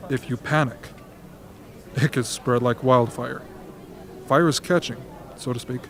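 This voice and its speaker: Medium-pitched man playing genial strategist, smooth, instructive, pragmatic, radio play. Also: steady tone, a little slow, fairly bright tone, a little hiss or hum. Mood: advising. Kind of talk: amateur radio drama acting.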